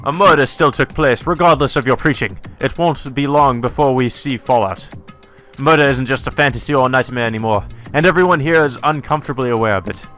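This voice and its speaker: A man's voice: mid-range voice, a little fast, a bit bright, faint music behind, close to an everyday voice. A man voicing a blunt, short-tempered student in a visual novel, biting and dismissive. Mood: irritated scorn.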